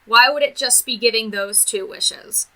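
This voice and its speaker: Woman, high pitch, strikingly bright tone, enthusiastic reviewer, emphatic, casual, gushing. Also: normal speed, low-quality recording. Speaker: video reviewer. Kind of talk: enthusiastic scripted review commentary.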